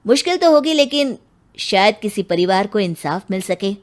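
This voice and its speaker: A woman's voice: medium pitch, expressive, moderate pace, lightly acted. A woman voicing a dutiful male police inspector in a cartoon, asking earnest, polite questions. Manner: earnest, polite questioning, addressing others as sir. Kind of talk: Hindi cartoon voice acting.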